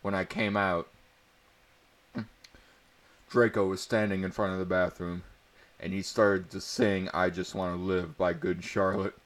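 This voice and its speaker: A man's voice: sits low, level tone, measured pace, long pauses. A man doing a mock-dramatic read-aloud of amateur fiction, with asides and a tendency to crack up. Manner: often breaks into laughter while reading. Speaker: amateur reader of fan fiction.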